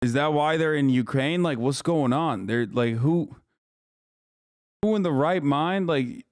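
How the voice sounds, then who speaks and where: A man in his thirties reacts on a live stream, swearing and incredulous, in a slangy casual way. His voice is smooth and a touch high, and the close mic is very dry. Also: measured pace, a little mellow, no echo. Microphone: streaming desk mic.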